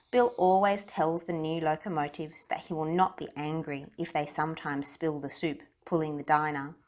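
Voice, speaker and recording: A woman reads a children's picture book aloud in a fairly low voice. Her narration is expressive, leaning deliberately on key phrases. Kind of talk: read-aloud storybook narration.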